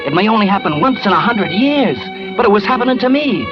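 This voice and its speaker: An American actor playing a scheming, bitter, cocky crook who narrates in tough slang in a 1940s radio thriller. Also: male voice, sits high, big pitch swings, quick pace. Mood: frantic, elated. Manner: tough slangy talk, agitated. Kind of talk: 1940s radio noir acting, first-person narration. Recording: dull old radio recording, loud music.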